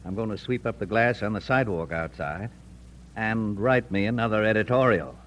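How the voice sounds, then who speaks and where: An actor playing a wry, defiant American frontier editor with a folksy manner in an old radio Western. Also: male voice, lowish voice, normal speed, background noise, natural voice. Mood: jovial, dry. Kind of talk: old-time radio Western drama acting.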